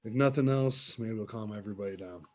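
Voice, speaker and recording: An actor playing a grim, resigned, protective man in a drama table read, speaking in a muted, low-key way. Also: quick pace, darkish tone, close to an everyday voice. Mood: grim resignation.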